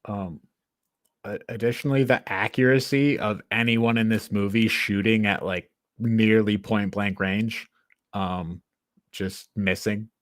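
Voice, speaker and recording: A man hosting a casual film review discussion, speaking in a halting, hesitant way. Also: on the low side, steady tone, no room sound, clean studio sound. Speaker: podcast host.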